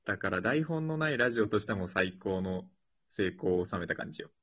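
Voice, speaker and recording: A man's voice: low-pitched, expressive, a little fast, a bit bright. A man hosting an improvised drama show, chatting casually and informally after a performance. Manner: colloquial, informal phrasing. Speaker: host of an improvised radio drama series. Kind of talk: casual post-show chat. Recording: dull lo-fi audio.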